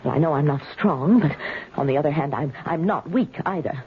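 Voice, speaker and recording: An actress playing a timid but stubborn old spinster in a 1970s radio drama, hesitant and querulous. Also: female voice, low voice, lively intonation, brisk. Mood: hesitant, flustered. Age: older. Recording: muffled, hissy 1970s radio recording.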